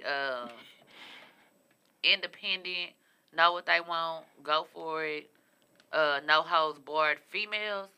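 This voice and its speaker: A woman's voice: low-pitched, pushed and bright, smooth. A young woman co-hosting a podcast, earnestly asking questions and speaking openly about her feelings in casual chat. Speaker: podcast co-host. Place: studio room with a city backdrop.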